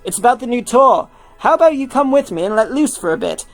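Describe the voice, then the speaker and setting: A woman's voice: on the high side, lively intonation, fast, faint music behind, voice pushed up. A woman voicing an easygoing, supportive young male rock star in a fan fiction reading, casual and coaxing.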